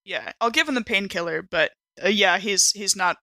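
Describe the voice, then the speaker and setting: A woman's voice: medium pitch, normal speed, pushed and bright, dry recording. A woman in casual banter as a player in a tabletop game.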